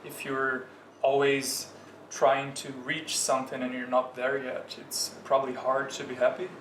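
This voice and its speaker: A man's voice: mid-range voice, monotone, a little slow, a bit bright. A young man from Brazil, a student guest talking casually and reflectively in a conversation. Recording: echoey room with clear noise.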